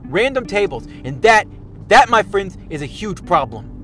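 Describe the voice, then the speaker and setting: A man's voice: sits high, big pitch swings, normal speed, sharp tone, heavily acted. A man playing a pompous, self-important tabletop gaming expert who lectures in a rambling way, stumbling over restarts and repeating himself. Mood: smug. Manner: boastful and lecturing. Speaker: self-styled podcast expert. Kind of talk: parody monologue.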